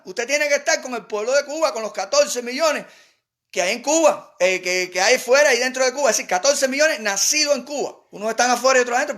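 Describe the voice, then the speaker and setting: A man's voice: extremely high pitch, fairly bright tone. A man with a Cuban accent delivering an impassioned political monologue as a commentator, emphatic and repeating words for stress.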